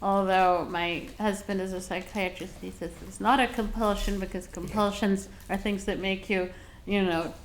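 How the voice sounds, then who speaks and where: A woman speaking as a translator on a panel, relaxed, reflective and conversational. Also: mid-range voice, normal speed, a little hiss or hum, low-quality recording. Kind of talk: panel discussion.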